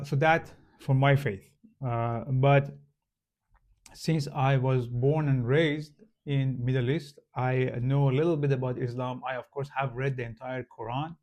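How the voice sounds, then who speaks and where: A man from Iran with a Persian accent, a native Farsi speaker, casually explaining to the camera with many pauses, fillers and restarts. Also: medium pitch, a little mellow. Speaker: channel host.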